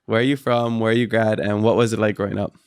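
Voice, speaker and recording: A man's voice: on the low side, little pitch movement, quick pace, smooth, dry recording, studio quality. A man, hosting a podcast in an easygoing, laid-back way and laughing readily. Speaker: podcast host. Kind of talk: casual interview chat.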